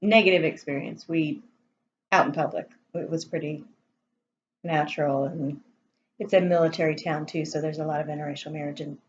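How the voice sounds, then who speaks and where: A woman answering interview questions in an easygoing, halting way. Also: deep voice, steady tone. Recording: muffled, off-mic, faint noise.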